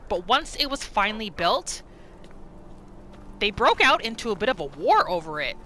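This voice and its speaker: A woman hosting a gaming roleplay series, telling the story in short phrases with dramatic pauses. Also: medium pitch, sing-song, sharp tone, a little hiss or hum. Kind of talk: storytelling gameplay narration.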